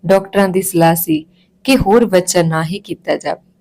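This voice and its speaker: A woman's voice: on the low side, moderate pace, warm tone, lightly acted. A woman voicing a loving, emotional mother who speaks tenderly and earnestly to her daughter in a family story. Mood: emotional, confiding. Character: tearful, loving Punjabi mother explaining herself to daughter.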